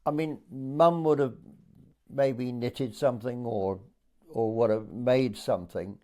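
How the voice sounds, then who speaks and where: An Englishman in his eighties from Dorset, recalling his childhood for an oral history interview in a hesitant storytelling way with pauses. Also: medium pitch, steady tone, dark tone. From Dorset, England. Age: 80s.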